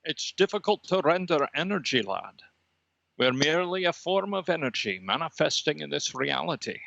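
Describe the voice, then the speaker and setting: A man voicing a serene extra-dimensional light being with formal, solemn, halting speech on a comedy podcast. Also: fairly high pitch, very animated, creaky, pushed and bright, muffled recording, full character voice. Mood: coolly defiant. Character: lofty extra-dimensional red dot energy being, serene and cosmic. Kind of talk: improvised comedy podcast character.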